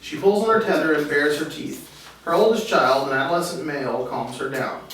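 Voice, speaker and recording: A man's voice: fairly high pitch, a little fast, a bit bright. A man reading stage directions as the narrator of a script table read. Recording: distant mic, echoey room, faint noise.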